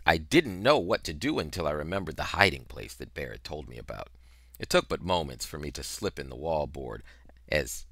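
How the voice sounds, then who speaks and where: A middle-aged man narrating a novel in an expressive, dramatic style, with emphatic, deliberate phrasing on a headset mic. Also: very deep voice, dry recording, studio quality. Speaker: reader of a children's novel.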